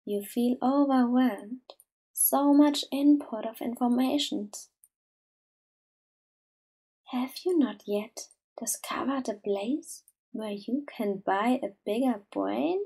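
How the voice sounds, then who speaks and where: Female meditation guide, higher-pitched but mellow, gentle and unhurried, soft to near-whisper. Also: slightly rough. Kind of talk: slow soothing guided meditation.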